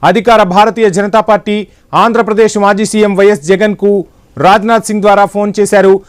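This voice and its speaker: A male news anchor, reading breaking political news in a brisk, formal broadcast manner. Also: sits high, flat delivery, clear, resonant tone.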